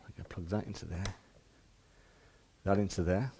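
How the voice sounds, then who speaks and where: A man's voice: low-pitched, fairly even intonation, normal speed, gravelly, warm tone, slight background noise. A man giving an informal hands-on demonstration as a shop presenter, explaining step by step in plain, casual words.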